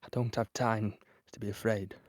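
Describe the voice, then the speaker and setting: An actor playing a plain-spoken, dutiful young British infantryman in an audio play, telling of the fighting in a halting, conversational way. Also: on the low side, flat delivery, no room sound, studio quality, natural voice. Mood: matter-of-fact. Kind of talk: dramatic monologue in an audio play.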